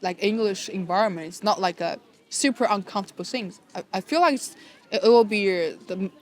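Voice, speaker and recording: A speaker from Shanghai with a Chinese accent, mid-pitched, in a casual podcast chat. English is not their first language, so they hesitate, restart and fill gaps with "like" and "uh" while searching for words. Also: brisk. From Shanghai, China. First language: Chinese (Shanghainese, Mandarin). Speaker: podcast co-host.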